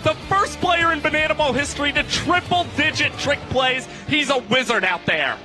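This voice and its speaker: A man's voice: very high-pitched, moderate pace, over music. A man giving live sports commentary as an analyst, emphatic and enthusiastic. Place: broadcast booth at a ballpark.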